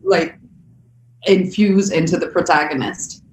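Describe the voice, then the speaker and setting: A woman's voice: on the low side, measured pace. Finnish woman co-host, friendly, laughy, roomy echo, faint background hum. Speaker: podcast co-host. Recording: echoey room, faint ambience.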